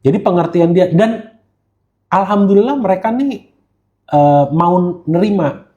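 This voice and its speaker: A mellow-voiced Indonesian man speaks as a podcast guest. His pitch sits a little high for a man. He talks in a casual, slangy way and drops English phrases into his sentences. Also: measured pace, dull audio. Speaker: guest gemologist who hosts live streams.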